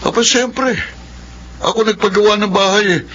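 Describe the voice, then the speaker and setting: A man's voice: sits high, normal speed, fairly bright tone. An actor playing a boastful father who scolds and refuses requests for money. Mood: gruff. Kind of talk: radio drama acting. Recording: dull lo-fi sound with faint noise.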